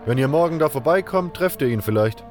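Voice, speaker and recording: A man's voice: on the high side, expressive, brisk, faint music behind, pitched above the performer's own voice, lightly acted. A man voicing a friendly hunter of the wilds in an audio drama, speaking in a casual, curious way. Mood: friendly advice. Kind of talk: fan audio drama dialogue.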